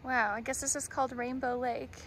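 A woman's voice: high voice, level tone, a little fast. A woman in her twenties casually narrating a travel vlog on a phone, effusive and full of wonder. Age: 20s. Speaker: hiking vlogger. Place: outdoors on trails and in a car. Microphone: handheld phone at arm's length. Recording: noisy outdoor ambience and wind.

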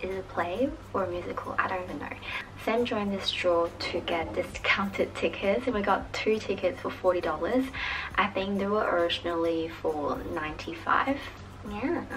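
A woman's voice: on the low side, moderate pace, music in the background, poor audio. A woman, chatting casually to camera as a vlogger at home. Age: 20s. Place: indoors at home, living room or bedroom. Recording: noticeable background noise.

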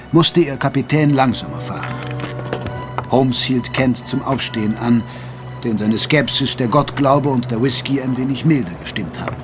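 A man narrating an audio drama from a script in a literary storytelling style. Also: mid-range voice.

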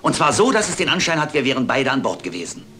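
Embittered retired commander: older villain, mid-pitched, highly animated, formal and self-important, music underneath. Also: male voice, fast. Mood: menacing. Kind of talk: German detective radio play acting.

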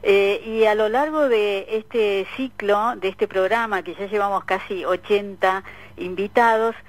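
A woman's voice: medium pitch, steady tone, unhurried, poor audio. Woman, Argentine accent, mid-range voice, informal radio interviewer. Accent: Argentine Rioplatense. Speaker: radio host interviewing a guest.

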